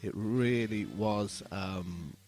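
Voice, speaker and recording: A man in his fifties from England speaks as a guest in a casual interview. His voice sits on the low side and he talks reflectively, often halting as he thinks, with music faintly behind him. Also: darkish tone.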